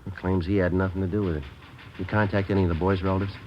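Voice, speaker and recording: A noisy, dull old radio recording of an actor as a deep-voiced American detective. He talks fast in clipped, deadpan, matter-of-fact sentences, calm and terse, like a crime drama cop. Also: lightly acted. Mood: businesslike. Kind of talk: 1950s radio crime drama narration and dialogue.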